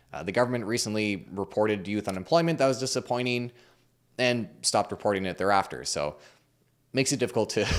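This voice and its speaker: A man hosting a finance channel, explaining the market in a measured, neutral way while hoarse and losing his voice. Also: lowish voice. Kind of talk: explainer commentary.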